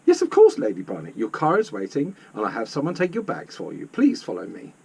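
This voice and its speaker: A man voicing a bright, eager young saleswoman in a storybook, polite and beaming. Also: mid-range voice, wildly swooping pitch, a little fast, gravelly, slightly rough, heavily acted. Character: bright, eager young female car rental clerk.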